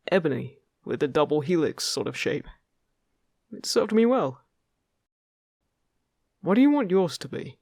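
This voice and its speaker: A man playing a friendly, upbeat fairy guide in an intimate fantasy roleplay, chatty, gentle and encouraging with the listener. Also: on the high side, gravelly, husky, no room sound, clean studio sound, exaggerated voice. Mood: cheerful, helpful. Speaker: voice actor in an audio roleplay.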